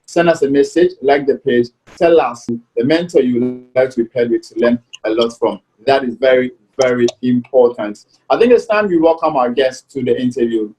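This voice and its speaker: A man hosting an interview show, speaking in a formal, deliberate announcing manner. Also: mid-range voice, a little mellow, rough recording. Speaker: show host.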